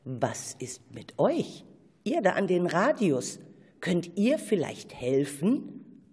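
A woman's voice: on the low side, very animated, slow pace, darkish tone, slightly rough. A woman presenting a scripted children's radio show as host, putting questions to listeners and callers.

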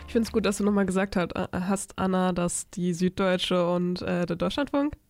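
A woman's voice: lowish voice, moderate pace, no echo, faint music behind. A 25-year-old woman co-hosting a book podcast, chatting loosely and laughing easily. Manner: relaxed, laughs easily, fillers. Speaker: podcast co-host. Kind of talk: casual chat.

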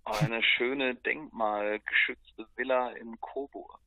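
A man's voice: medium pitch, steady tone, slow pace, no echo, narrow, tinny audio. A man, a musician guest, answering casually and spontaneously in a laid-back, colloquial way.

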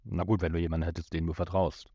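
A man with a lowish voice chats as a podcast co-host, casually picking apart an audio drama. The recording is dry and slightly muffled. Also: little pitch movement, fast, dark tone, slightly rough, no echo.

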